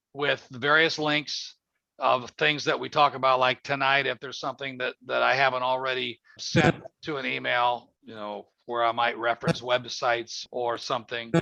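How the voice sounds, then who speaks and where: A man's voice: mid-range voice, vocal fry, pushed and bright, dry recording. A man in his seventies hosting a casual call-in chat, talking in a folksy, rambling, emphatic way. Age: 70s. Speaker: host. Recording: muffled lo-fi audio with faint noise.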